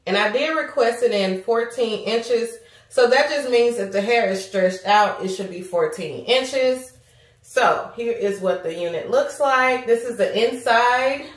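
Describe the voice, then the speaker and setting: A woman in her thirties talks through a product review in a chatty, familiar way, calling viewers girl and honey. Her voice sounds nasal and congested, pitched a little high. The sound is roomy and slightly distant from the mic. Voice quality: nasal from allergies. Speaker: beauty vlogger. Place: small room at home, indoors.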